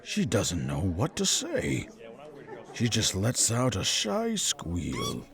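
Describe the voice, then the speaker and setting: A man's voice: on the low side, wildly swooping pitch, slow pace, a crowd in the background. A man narrating a scripted story.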